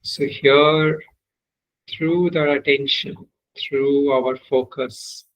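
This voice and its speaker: A man leading a guided meditation as a teacher, giving measured, unhurried instructions with long pauses. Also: mid-range voice, fairly even intonation, a bit bright, poor audio.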